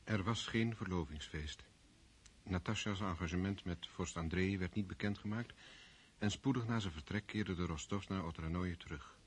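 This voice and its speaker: A man narrating a classic radio drama in a measured, formal way. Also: sits low, slightly rough.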